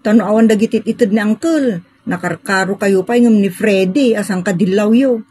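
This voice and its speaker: A woman's voice: medium pitch, normal speed, dark tone, breathy edge, dry recording, lightly acted. A woman with a Filipino accent playing an outspoken, exasperated grown daughter in an audio drama, with an emphatic, scolding manner. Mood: indignant.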